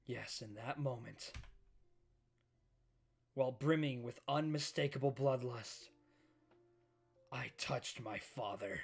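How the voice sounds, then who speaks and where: A man voicing a brooding young male manga villain, narrating his traumatic childhood in a hushed, haunted way. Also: medium pitch, slow pace, creaky, long pauses, husky, full character voice. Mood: dark, ecstatic.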